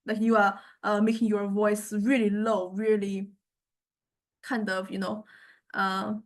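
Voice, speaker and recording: A woman giving an informal software demonstration lecture, hesitantly thinking aloud and searching for words as she explains. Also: mid-range voice, flat delivery, unhurried. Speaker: music technology lecturer.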